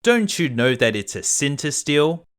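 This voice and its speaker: A man voicing a huge, angry, threatening male beast in a children's fairy tale, loud and menacing. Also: fairly high pitch, sing-song, clean tone. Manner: loud, slow, menacing delivery.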